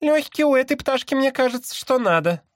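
A man voicing an exasperated, sharp-tongued woman in an audiobook, with impatient, sarcastic exclamations. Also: very high voice, lively intonation, fairly quick, no echo, clean studio sound, voice pushed up. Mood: incredulous. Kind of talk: dramatized audiobook character.

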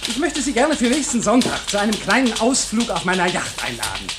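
A man's voice: high voice, wildly swooping pitch, a little fast. A man playing a falsely jovial deputy director in a radio drama, oily and mock-courteous. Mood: smug, effusive.

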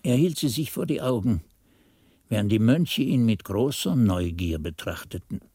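An actor playing a monk who narrates as a devoted pupil in a radio play, in an earnest, literary, expressive manner. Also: male voice, lowish voice, moderate pace, breathy edge, no room sound, studio quality. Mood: admiring, proud. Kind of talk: scripted radio drama narration.

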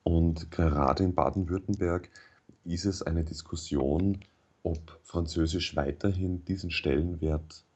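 A man speaking German as his first language, a radio interview guest who explains things in a measured way with frequent hesitations. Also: very deep voice, dark tone, husky, lo-fi sound. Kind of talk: thoughtful radio interview answers.

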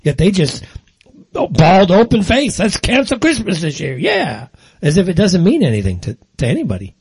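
A man's voice: high-pitched, wildly swooping pitch, normal speed, creaky, darkish tone, breathy edge. An American man hosting a political talk show, putting questions and comments to his guest in a conversational style. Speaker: talk show host, interviewer.

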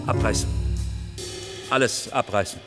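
Guy acting intense movie director in a radio play, clipped n persuasive, sharp voice, big reverb, bit of music behind. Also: medium pitch, unhurried, close to an everyday voice. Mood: brisk, commanding. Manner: emphatic, clipped instructions. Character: driven middle-aged male film director, commanding and persuasive. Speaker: actor in a radio drama. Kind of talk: acted German radio drama dialogue. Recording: reverberant hall, music bed.

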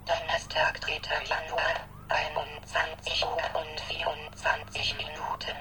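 A woman's voice: deep voice, monotone, a little slow, noisy background. A woman voicing an answering machine that announces day and time in a clipped manner in a radio play. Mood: impersonal.

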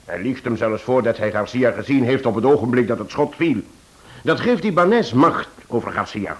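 An actor playing a genial detective in a radio play, explaining a case in a measured, explanatory manner. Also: male voice, mid-range voice, expressive, close to an everyday voice. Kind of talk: Dutch radio play acting.

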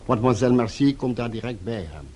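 An actor playing a courteous, insinuating French hotel porter in a radio play, formal and discreetly venal. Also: male voice, mid-range voice, fast talker, a little mellow, lightly acted. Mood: obliging. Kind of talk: radio drama acting. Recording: noisy old radio play recording.

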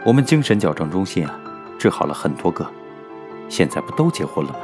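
A man's voice: deep voice, sing-song, a little fast, over music. A man playing a smug, soothing doctor in an audio drama, placating and oily in his reassurance. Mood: smoothly reassuring. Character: smug, soothing male correction-clinic doctor.

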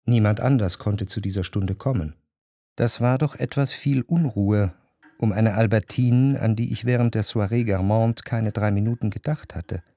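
An actor playing an introspective, yearning gentleman who narrates a literary radio drama in a measured, reflective way. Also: fairly low pitch, close to an everyday voice. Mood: anxious longing.

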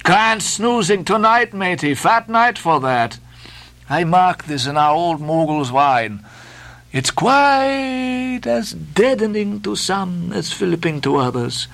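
Guy putting on a big hearty sailor poking fun, high bright and bouncy. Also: very animated, slow, vocal fry, exaggerated voice. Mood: boisterous. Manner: hearty and mocking, laughs. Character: jovial teasing male sailor, boisterous.

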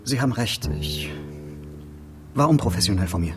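An actor playing a self-important, defensive busybody in a naturalistic radio crime drama, insisting and justifying himself. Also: male voice, low-pitched, very animated, moderate pace, music in the background. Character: defensive, self-important busybody man, eager to incriminate others.

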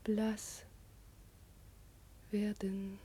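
A woman's voice: mid-range voice, completely flat delivery, very slow, mellow, a little hiss or hum. A woman playing a proud, sardonic singer in a German radio play, with a theatrical, scornful manner. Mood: wistful.

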